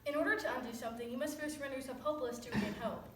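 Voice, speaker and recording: A woman's voice: high-pitched, racing, natural voice. A teenage girl giving a poetic recitation in a readers theater performance. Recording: very echoey room, distant mic, faint noise.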